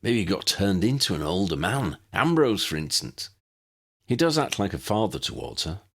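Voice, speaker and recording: A man voicing an impulsive, jokey, excitable young man in an audiobook. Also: sits low, normal speed, full character voice. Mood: insistent.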